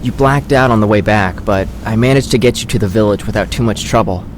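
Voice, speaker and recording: A man's voice: lowish voice, fast, lightly acted. A man playing a teasing, easygoing young man in an audio drama. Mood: casual, amused. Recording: faint background noise.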